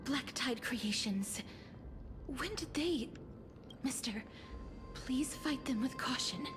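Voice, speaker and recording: Soft, slow-spoken young death maiden, high and breathy, solemn game heroine, music behind. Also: female voice, expressive. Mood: worried.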